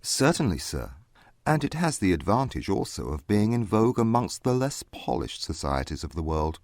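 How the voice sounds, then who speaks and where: Haughty aloof young gent done by a male actor, really deep, dry close sound, formal and clipped, audiobook. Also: sing-song, normal speed, a little mellow, no echo. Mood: cool disdain. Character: proud, aloof young English gentleman, curt and disdainful. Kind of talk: dramatized audiobook acting.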